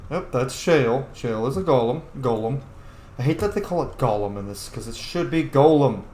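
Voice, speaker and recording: A man plays through a fantasy game, commenting casually and speaking as his character. His voice sits at a middling pitch, with plenty of room echo and faint noise behind it. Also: lively intonation, normal speed, a little mellow. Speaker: gamer playing a role-play series.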